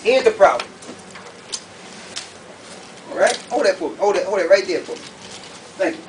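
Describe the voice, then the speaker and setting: High-pitched man preaching, from afar. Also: lively intonation, moderate pace, far from the mic. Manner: colloquial, emphatic, repeats questions rhetorically. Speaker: preacher teaching a group. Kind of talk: animated sermon with questions to the audience. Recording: noisy room, picked up from afar.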